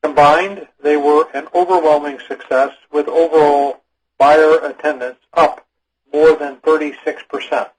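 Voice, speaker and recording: A man with a rough, husky voice pitched a little high reads a prepared business report. His delivery is formal and measured, that of an executive addressing a conference call. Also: steady tone, poor audio. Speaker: company executive. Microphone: phone or conference call line.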